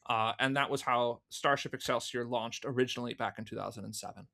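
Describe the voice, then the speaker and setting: Bright-voiced man telling stories casually. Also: mid-range voice, a little fast, vocal fry. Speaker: podcast guest, audio drama producer. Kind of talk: casual interview storytelling.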